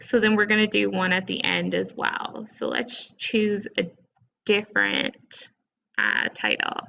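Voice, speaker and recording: A woman presenting a casual step-by-step software tutorial in an informal, conversational way, recorded with dull, lo-fi sound. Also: mid-range voice, very animated, measured pace, vocal fry.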